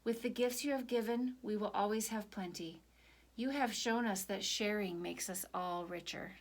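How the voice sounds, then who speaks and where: A woman voicing wandering monks in a children's storybook, with a kindly, musing, gently suggestive manner. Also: medium pitch, normal speed, lightly acted. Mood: grateful, gentle. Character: wandering Buddhist monks, gently coaxing and appreciative. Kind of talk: children's storybook character reading.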